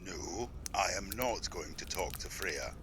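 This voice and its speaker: A stern elder god , played by a male actor, speaks slowly in a very deep, grave voice. The recording is distant, with clear background ambience behind him, as at an outdoor performance. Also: a little hiss or hum. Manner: slow, flat, grave.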